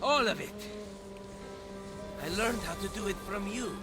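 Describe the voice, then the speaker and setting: A smug young soldier in a game cutscene. His voice is high for a man, glib and sarcastic, quick to turn defensive, with music behind it. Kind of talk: video game cutscene acting.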